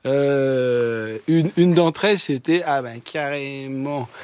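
A young man on a talk panel, telling funny stories in a halting, stammering way. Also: medium pitch, a little mellow, smooth, dry recording. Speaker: guest. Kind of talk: casual anecdotal chat.

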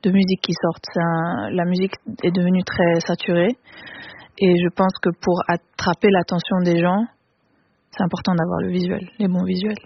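A woman's voice: on the low side, little pitch movement, moderate pace, gravelly, highs cut off, a little hiss or hum. A woman singer in a casual radio interview, speaking thoughtfully with many restarts and slipping English words into her speech. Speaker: singer, guest artist.

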